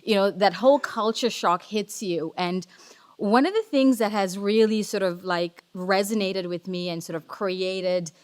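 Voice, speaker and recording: A woman in her forties from Sri Lanka, speaking on a panel in a lively, chatty, informal way. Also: mid-range voice, measured pace. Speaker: panelist, tech company executive. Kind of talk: casual panel talk.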